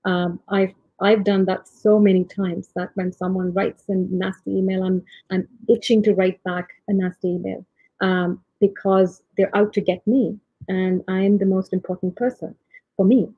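Soft-spoken woman on a tinny video call. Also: mid-range voice, level tone, moderate pace, dry recording. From India. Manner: soft-spoken, frequent ums and restarts. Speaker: tech leader giving a conference talk. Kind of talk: reflective leadership talk. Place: home room, remote talk. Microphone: laptop or webcam mic, video call. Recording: tinny, husky dry video-call audio.

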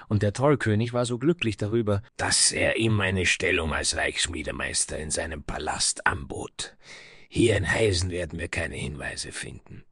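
A man's voice: low-pitched, fairly bright tone, slightly rough, no room sound, clean studio sound, heavily acted. A man playing a proud, vengeful dwarf smith from the icy north, speaking in solemn, grandiloquent phrases in a fantasy audio story. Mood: grimly scornful.

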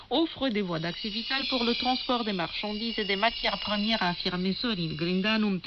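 A woman delivers scripted news as a radio newsreader. Her voice comes through a shortwave broadcast with a dulled, narrow sound and a light layer of noise. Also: medium pitch. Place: radio studio, heard over shortwave.

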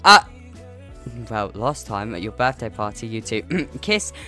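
A woman's voice: a bass voice, very animated, a little slow, sharp tone, soft background music, pitched below the performer's own voice, heavily acted. A woman playing a chatty, slightly awkward father who rambles through a family roleplay game.

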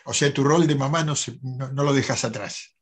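A man's voice: medium pitch, moderate pace, slightly rough. An older man hosting a conversational interview over a video call. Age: older, 60s or over. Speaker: interview host. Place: indoors at a desk, on a video call. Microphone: video call, close to the camera.

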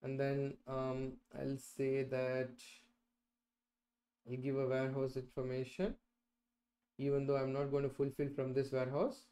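A man's voice: medium pitch, monotone, measured pace, pauses often, dark tone. A middle-aged man presenting an explanatory screen-share tutorial, often checking in with "right?" and "okay". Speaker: instructor presenting a software tutorial. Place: indoors, at a computer.

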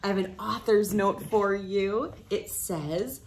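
A woman in her thirties reading a children's storybook aloud with expressive, deliberate delivery. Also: mid-range voice, a little hiss or hum. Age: 30s. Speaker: children's book reader. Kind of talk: read-aloud storybook narration. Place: small wood-panelled room indoors.

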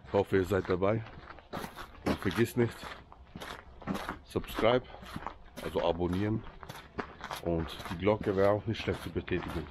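A deep, low-voiced man with a Swiss accent talks casually to his viewers, joking as he walks. He is outdoors by a lake, with clear outdoor noise behind him. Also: a little slow, pauses often. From Switzerland. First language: Swiss German. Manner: chatty, joking, addresses viewers directly. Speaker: vlogger. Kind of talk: casual vlog commentary to viewers.

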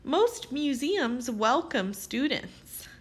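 Slow, lively woman reading to children. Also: sits high, slightly rough. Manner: expressive, carefully phrased, addressing young children. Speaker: teacher reading aloud. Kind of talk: children's read-aloud narration.

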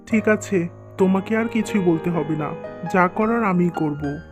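A woman's voice: fairly low pitch, measured pace, close to an everyday voice. Woman as worried young married man, dutiful son, earnest, plainspoken, cartoon dialogue, music behind. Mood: resolved.

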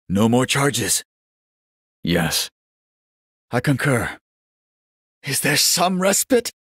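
A man voicing a genial, vain old warrior monk in a video game, speaking in a measured, courtly and wryly pious manner. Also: medium pitch, no echo, clean studio sound. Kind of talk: scripted game character voice lines.

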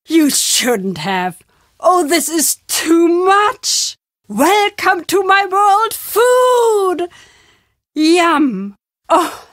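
An old wandering woman storyteller from a video game, with a voice on the high side. She speaks in unhurried, theatrical phrases with weighty pauses, both playful and wistful. The sound is dry, with no room around it. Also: fairly bright tone, dry recording, heavily acted. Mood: delighted, savoring. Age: older. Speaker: game character.

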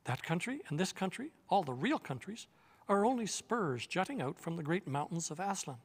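An older man voicing a gentle, kindly faun in a read-aloud storybook, earnest and explaining. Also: sits high, very animated, normal speed, slightly rough, big-room sound, heavily acted. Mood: eager. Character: gentle kindly male faun, wise and warm.

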